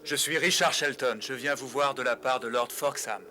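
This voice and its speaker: A man voicing a brave, earnest young knightly hero in a French animated adventure dub, speaking formally and resolutely. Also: mid-range voice, moderate pace, slight background noise, natural voice. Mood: respectful, keen.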